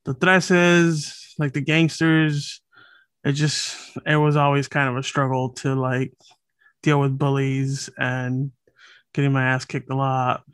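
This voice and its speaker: A man in his late thirties from Los Angeles, a podcast guest talking in a relaxed, thoughtful way with many fillers and restarts. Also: medium pitch, slow pace, smooth, dry recording. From Los Angeles, USA. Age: late 30s. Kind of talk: casual conversational interview. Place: home room, over a video call.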